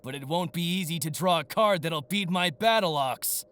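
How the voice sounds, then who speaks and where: An actor playing an arrogant, gloating young male card-game villain in an anime-style audio drama, theatrical and taunting, swinging from sly murmurs to shouts. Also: fairly high pitch, normal speed, clear, resonant tone, natural voice. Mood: smug, scheming.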